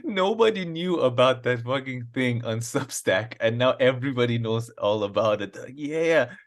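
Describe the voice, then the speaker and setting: A man co-hosting a comedy podcast, with a mid-pitched voice. He talks in a relaxed, agreeable way and laughs easily between his lines. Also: normal speed. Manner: laid-back, laughs often, agreeable. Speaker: podcast co-host. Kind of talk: casual comedic chat.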